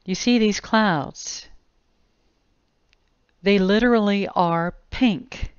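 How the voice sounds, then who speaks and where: Slow, smooth-voiced woman narrating gravely. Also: medium pitch, no room sound. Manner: deliberate, grave. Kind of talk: scripted explanatory video narration. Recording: dull lo-fi close dry voice-over.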